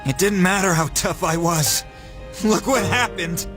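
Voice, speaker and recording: A man's voice: sits high, measured pace. An actor playing a young male fighter, shaken and blaming himself, in a game cutscene. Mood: regretful. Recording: game audio with music behind. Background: music.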